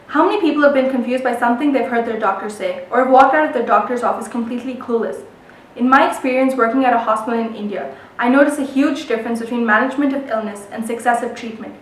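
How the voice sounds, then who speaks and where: A woman's voice: on the high side, a little hiss or hum. A young adult woman delivering a prepared presentation, recorded roomy and distant. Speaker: public speaker, medical student.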